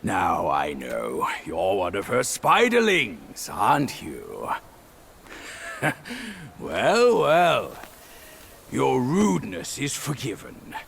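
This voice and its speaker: A boastful pig demon who mocks and blusters in a gravelly voice, swinging high and lively in pitch. He drags out every word slowly over game music. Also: a little hiss or hum, exaggerated voice. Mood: mocking. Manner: mocking, blustering, shouts when angered. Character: lecherous boastful pig demon man, quick-tempered. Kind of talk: video game cutscene voice acting.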